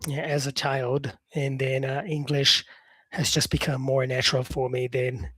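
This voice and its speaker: A man's voice: mid-range voice, little pitch movement, a little slow, sharp tone, slightly rough, dry recording. A man whose first language is Portuguese, an English teacher chatting on a live stream and answering viewer comments.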